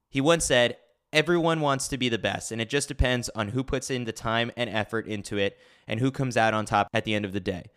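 A man reading a scripted sports newsletter as host, clearly enunciated with a wry delivery. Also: mid-range voice, a bit bright. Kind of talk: scripted narration.

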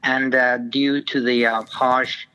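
A man's voice: mid-range voice, level tone, slow, sharp tone, smooth. A middle-aged man from Venezuela, a podcast guest on a phone line, talking hesitantly with many fillers.

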